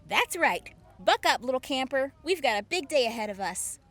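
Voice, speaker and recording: A woman's voice: high voice, big pitch swings, normal speed, a bit bright, dry recording, faint music behind. A woman playing a confident, flirty army officer in an audio drama, teasing and reassuring. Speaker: lieutenant colonel.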